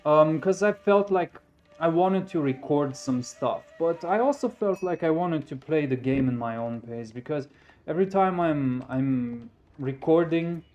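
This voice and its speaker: A man's voice: fairly high pitch, animated, measured pace, darkish tone, lo-fi sound. Reflective young man. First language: Romanian. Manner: reflective, thinking aloud. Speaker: gaming YouTuber. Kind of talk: casual commentary over a game replay.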